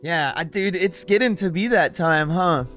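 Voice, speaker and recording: A man's voice: sits high, moderate pace, a bit bright, faint music behind. A young man hosting a casual talk show from his room. He talks loosely, swears freely and leans on lots of filler words. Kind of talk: casual unscripted chat. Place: home room, self-described cave.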